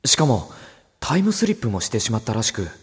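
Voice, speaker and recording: A man's voice: medium pitch, sing-song, quick pace, breathy edge. An actor playing an earnest, kindly teenage boy hero in a Japanese audio drama, with casual, sincere delivery. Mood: earnest narrating. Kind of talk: Japanese visual novel audio drama acting, with narration.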